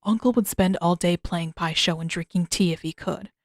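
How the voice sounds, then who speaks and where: A woman's voice: fairly low pitch, moderate pace, no echo, clean studio sound, lightly acted. A woman voicing a stubborn, guarded teenage prince in a terse, offhand manner for a story reading.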